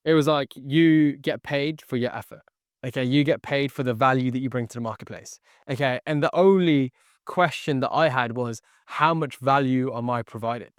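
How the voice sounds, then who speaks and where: Earnest man, smooth dry studio voice. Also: mid-range voice, moderate pace, dry recording. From born near London, raised in the Philippines. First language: Tagalog. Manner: earnest, repeats phrases, adds "okay" often. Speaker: guest, sales entrepreneur. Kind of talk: podcast interview.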